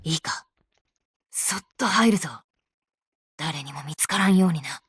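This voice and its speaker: A woman's voice: low-pitched, animated, fast, fairly bright tone, husky, full character voice. A woman voicing a worldly adult schoolteacher in a visual novel, speaking in a blunt, informal, tomboyish way. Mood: hushed, careful. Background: guitar game music.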